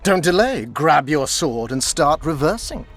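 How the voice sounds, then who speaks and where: An actor playing a condescending, scholarly court sorcerer in a video game, pompous, silky and mock-courteous. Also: male voice, a little high, very animated, measured pace, fairly bright tone, soft background music, exaggerated voice. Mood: sceptical. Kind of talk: video game dialogue acting.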